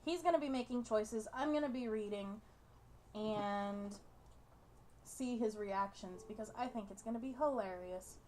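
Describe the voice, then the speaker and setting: A woman's voice: medium pitch, measured pace, a little mellow, a little hiss or hum. A young woman hosting a gaming video, chatting casually and reading game text aloud.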